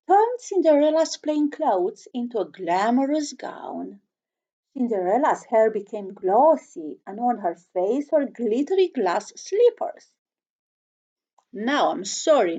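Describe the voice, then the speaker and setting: A woman's voice: fairly high pitch, mellow, lo-fi sound. A woman reading a children's storybook aloud, expressively, chatting to young listeners with questions and asides.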